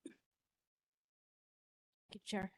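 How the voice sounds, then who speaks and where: A woman board member making a formal motion at a community meeting, speaking quietly. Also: drawn-out words.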